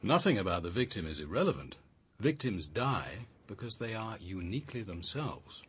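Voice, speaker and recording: Low, very husky, measured senior policeman. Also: big pitch swings, fairly bright tone, exaggerated voice. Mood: probing, grave. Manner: measured, thoughtful, articulate. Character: senior police officer, measured, analytical, reserved. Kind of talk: naturalistic radio drama acting.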